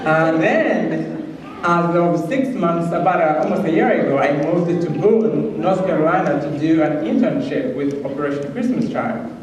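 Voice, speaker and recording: A man from Rwanda with a Rwandan accent gives an earnest, halting personal testimony as a guest speaker in church. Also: a little high, expressive. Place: large church hall, speaking to an audience. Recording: very echoey hall, distant mic, faint noise.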